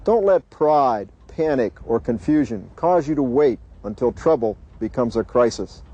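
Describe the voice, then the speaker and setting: A man giving deliberate, instructive scripted narration for a safety training video. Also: mid-range voice, lively intonation, mellow, breathy edge, dull audio.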